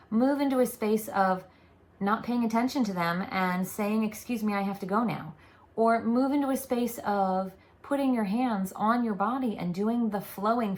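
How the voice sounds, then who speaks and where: A woman delivering channeled spiritual guidance in a calm, unhurried way. She speaks as a collective "we", and her voice carries a noticeable gravelly fry. Her pitch sits in an ordinary middle range for a woman. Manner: slow, deliberate, soothing delivery.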